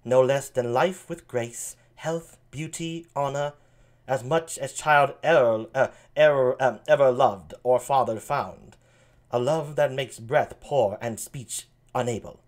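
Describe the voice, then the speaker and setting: A man voicing a flattering eldest royal daughter in a play reading, with a soft, low, hushed delivery. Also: slow pace, natural voice.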